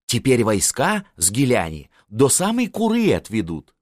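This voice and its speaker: A man's voice: medium pitch, huge pitch swings, normal speed, no echo, clean studio sound, voice pushed up. A man voicing a meek, fawning foreign prince who gossips about court.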